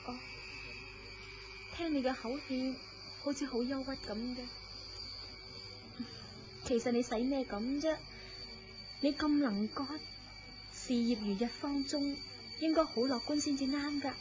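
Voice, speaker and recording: An actress playing an affectionate married woman in a wistful secret romance, coy and expressive in Cantonese radio drama style. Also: sits high, unhurried, long pauses, music in the background. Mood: gentle, wistful. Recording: dull lo-fi audio, loud background noise.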